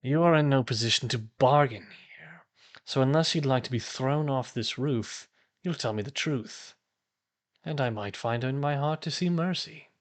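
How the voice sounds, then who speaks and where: A man's voice: mid-range voice, moderate pace, pitched above the performer's own voice, lightly acted. A man voicing an imperious, threatening cult leader with a pompous, formal way of speaking in a tabletop game. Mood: menacing. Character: imperious, threatening male cult leader claiming divine will.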